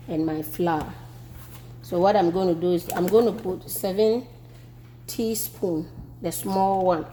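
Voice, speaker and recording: Slow, mellow Ghanaian woman cooking at home. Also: lowish voice, slight background noise. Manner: relaxed, repeats herself while working. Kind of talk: casual cooking demonstration. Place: home kitchen.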